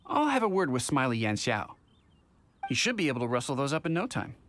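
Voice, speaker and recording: A man's voice: mid-range voice, big pitch swings, fairly quick, fairly bright tone, a little hiss or hum. A man playing a genial, practical middle-aged innkeeper in a video game, chatty, thoughtful and polite. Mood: genial, helpful. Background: music.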